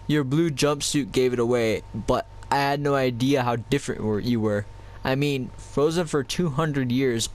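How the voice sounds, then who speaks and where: A man's voice: mid-range voice, close to an everyday voice. A man voicing a young woman speaking tenderly to a companion, reading fan fiction aloud. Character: young woman reporter, warm and admiring.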